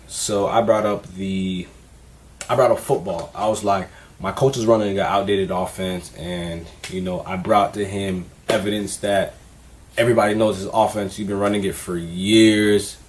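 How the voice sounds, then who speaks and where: Low-voiced man giving casual advice. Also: a little slow. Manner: relaxed, candid, conversational with frequent fillers. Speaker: vlogger.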